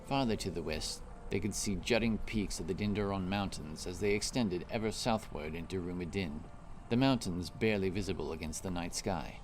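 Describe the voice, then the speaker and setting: A man narrating a scripted fantasy story in a measured, deliberate storytelling manner. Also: sits low, faint music behind.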